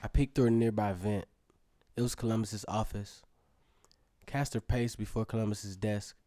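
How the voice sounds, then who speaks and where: A man's voice: on the low side, fairly even intonation, moderate pace, dark tone, no echo, close to an everyday voice. A man playing a curious, adventurous young storyteller, narrating a scripted audio drama in the first person. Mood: intrigued, musing.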